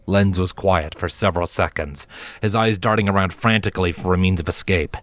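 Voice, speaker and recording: Lower-pitched man narrating a fantasy story. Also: fairly quick. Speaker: fan fiction narrator. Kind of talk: dramatic scripted story narration. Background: background music.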